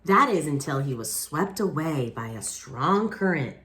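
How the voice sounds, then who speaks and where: A woman reading a picture book aloud expressively, with dramatic pauses and casual asides to young listeners. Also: low-pitched, big pitch swings, fairly bright tone. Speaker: children's picture book reader.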